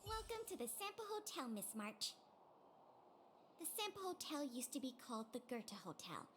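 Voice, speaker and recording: An eager, courteous hotel greeter in a video game. The voice is high and very lively in pitch, polished like a sales pitch, with slight background noise. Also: female voice, moderate pace. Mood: welcoming. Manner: polite sales-pitch delivery. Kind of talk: video game dialogue acting.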